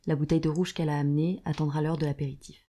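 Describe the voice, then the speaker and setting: A woman narrates an audio drama from a script. Her voice sits very low for a woman and has a dark, soft-edged mellowness. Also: level tone, very fast.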